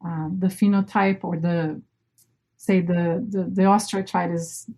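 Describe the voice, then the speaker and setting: A woman's voice: lowish voice, steady tone, a little slow, mellow. A middle-aged woman from Brazil, a native Portuguese speaker, talking casually as an interview guest and often rephrasing herself. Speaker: interview guest, marine biologist. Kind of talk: casual personal storytelling.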